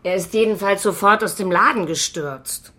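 An actress playing an ordinary bakery worker in a radio crime drama, talking in a hesitant, chatty way. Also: female voice, mid-range voice, moderate pace, sharp tone, lightly acted. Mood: hesitant, concerned. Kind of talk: naturalistic German radio play dialogue.

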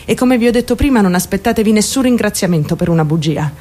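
A woman's voice: mid-range voice, expressive, fast, darkish tone, natural voice. A woman acting a theatrical radio monologue as a troubled young woman, defensive and candid, rambling and addressing her listeners directly. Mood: wistful, self-deprecating. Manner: confiding, addresses listeners directly with rhetorical questions. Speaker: actor.

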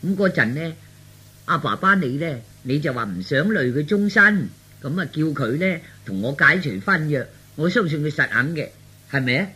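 A man's voice: a little high, animated, normal speed, pushed and bright, husky, exaggerated voice. A man playing an earnest, scheming young son in a radio play, wheedling and explaining hesitantly. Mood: eager scheming. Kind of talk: Cantonese radio play storytelling.

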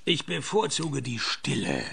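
An actor playing a sardonic, hard-up male private detective in a radio play, wisecracking in a deadpan way. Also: medium pitch, a little slow, gravelly, slight background noise, heavily acted. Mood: dry, teasing. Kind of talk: radio play dialogue and first-person narration.

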